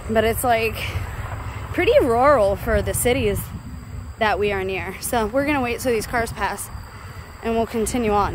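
American female vlogger, higher register, casual rambling monologue, handheld phone outdoors with background noise. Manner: chatty, digressive, confiding. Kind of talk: casual walk-and-talk monologue. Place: outdoors walking along a rural road. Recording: clear outdoor roadside noise.

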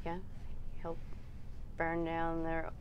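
A young woman from Canada, a guest talking about her family and her food business in a chatty, quick way, filmed in a small shop room. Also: deep voice, monotone, muffled recording, slight background noise. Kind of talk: casual interview chat.

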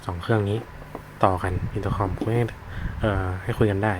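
A man giving a casual, unscripted product demonstration, explaining informally and haltingly as he searches for words. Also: on the low side, fairly even intonation. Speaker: product reviewer demonstrating a gadget. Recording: noisy, with vehicle sounds behind.

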